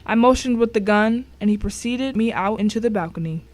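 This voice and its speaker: A woman's voice: a little high, fairly quick, a little mellow, lightly acted. Woman acting as grim thriller narrator. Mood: grim, tense. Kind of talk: dramatic first-person story narration.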